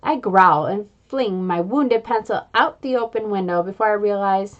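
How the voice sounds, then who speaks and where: A woman reading a children's book aloud in an expressive, playful way, adding little sound effects. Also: mid-range voice, moderate pace, highs cut off. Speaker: reader.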